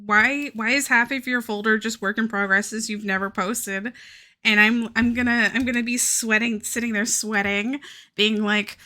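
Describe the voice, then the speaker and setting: A woman's voice: on the high side, steady tone, moderate pace, pushed and bright. A young woman with a Southern US accent, chatting casually and rambling on a live stream, swearing and laughing often. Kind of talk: casual chat while drawing on a live stream.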